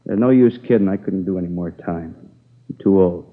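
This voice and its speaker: A man's voice: low-pitched, a little fast. An actor playing a sullen, aging male ex-convict who answers police questions in a whiny, evasive, complaining way in a 1950s radio crime drama. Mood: defensive. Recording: tinny narrow-band old radio transcription.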